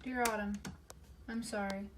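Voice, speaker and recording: A woman's voice: medium pitch, fairly even intonation, moderate pace, noisy background, close to an everyday voice. A woman playing an apologetic, guilt-ridden teenage girl in a stage drama monologue. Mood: remorseful. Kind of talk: one-act play letter monologue.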